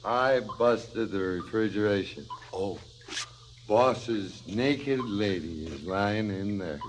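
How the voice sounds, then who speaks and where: An actor playing a drunken, sardonic crooked cop in a theatrical radio drama, with a halting drawl. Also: male voice, on the low side, slight background noise. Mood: taunting, drunk. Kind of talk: 1970s radio drama acting.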